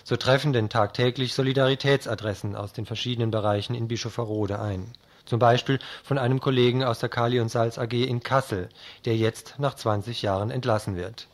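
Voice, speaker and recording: A man reads a scripted radio news report as its presenter. He speaks at a middling pitch, moving through prepared copy. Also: steady tone, fairly quick.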